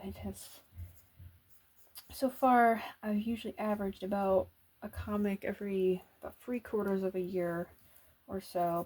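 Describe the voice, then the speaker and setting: A soft-spoken woman doing casual ASMR chat as an artist at work, talking in an unhurried, musing way. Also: mid-range voice. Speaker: illustrator and comic artist narrating a drawing video.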